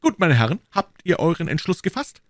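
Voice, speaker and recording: A man performs a haughty officer of the guard in a swashbuckling storybook. He is impatient and commanding, with sharp exclamations that leap high in pitch. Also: huge pitch swings, a little fast, creaky, dry recording, clean studio sound, exaggerated voice.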